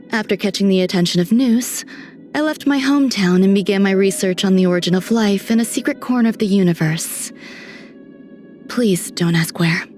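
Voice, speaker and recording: Lady playing elegant reserved scientist woman, video game lines, composed n softly detached, mid pitch, music behind. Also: close to an everyday voice. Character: refined, reserved female scientist, gentle and detached. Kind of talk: scripted video game character monologue. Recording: voice over background music.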